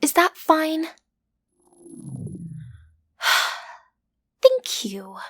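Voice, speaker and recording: A cute, high-pitched teenage girl, acted by a woman, plays at being a supervillain in a roleplay drama. She is sassy and theatrical, speaking straight to her listener. Her menace is playful and rough around the edges, with an awkward, hesitant streak. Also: wildly swooping pitch, slow pace, a bit bright, full character voice. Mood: flustered, bossy. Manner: theatrical, sassy, hesitant when breaking character.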